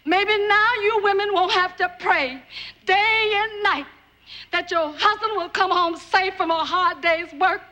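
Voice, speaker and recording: A very high-voiced, bitter worker's wife. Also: female voice, a little slow, pushed and bright, exaggerated voice. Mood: aggrieved. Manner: impassioned, plaintive. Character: bitter, outspoken wife of a crippled railroad worker. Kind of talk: television folk-tale drama acting.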